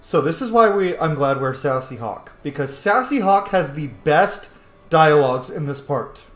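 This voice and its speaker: A male streamer with a fairly high, very animated voice. He gives casual, excitable game commentary full of slang, in a roomy recording with game music behind him. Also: normal speed.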